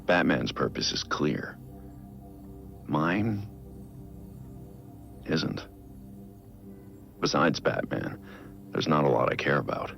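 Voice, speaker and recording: An actor playing a brooding billionaire vigilante, recording a measured, reflective personal audio log in a game. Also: male voice, expressive, fairly bright tone, highs cut off, faint music behind, heavily acted. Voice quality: low gravelly voice. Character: brooding billionaire vigilante, man in his prime.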